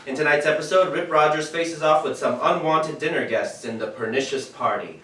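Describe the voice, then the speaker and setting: A man's voice: a little high, a little fast, a bit bright, lightly acted. A man acting a polished old-time radio announcer on stage, with a projected, showy delivery. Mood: showy, upbeat. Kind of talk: 1940s radio show announcing. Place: live stage in a theatre. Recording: echoey theatre from far off, faint noise.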